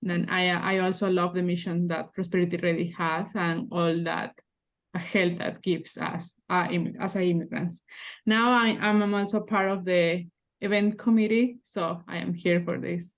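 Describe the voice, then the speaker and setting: A young woman with an Ecuadorian Spanish accent, a Spanish speaker, introducing herself on a video call with halting restarts. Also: on the low side, steady tone. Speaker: student and committee member.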